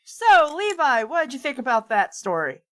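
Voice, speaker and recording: A woman's voice: high voice, very animated, moderate pace. A woman hosting a casual group reading and discussion of fan fiction. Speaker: podcast host leading the discussion. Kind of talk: casual chat and read-aloud.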